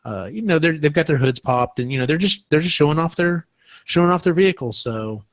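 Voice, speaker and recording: A man's voice: fairly high pitch, lively intonation, a little fast, no room sound. A man hosting a podcast in a casual, chatty way. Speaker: podcast host. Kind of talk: casual chat.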